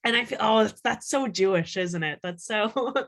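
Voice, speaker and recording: A woman's voice: medium pitch, moderate pace, gravelly. A woman author being interviewed, chatting casually with lots of "like" and acting out little quotes as she explains. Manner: chatty, animated self-quoting, peppered with "like".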